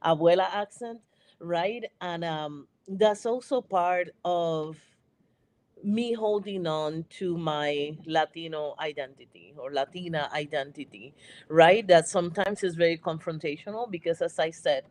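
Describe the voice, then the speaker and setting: Puerto Rican woman, lowish voice. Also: measured pace, darkish tone. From Puerto Rico. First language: Spanish. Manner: reflective, often asks "right?". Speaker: panel guest. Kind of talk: discussion.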